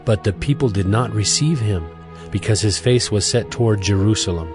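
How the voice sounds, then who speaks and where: A man narrating scripture from a script as a measured storyteller. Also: fairly low pitch. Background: music.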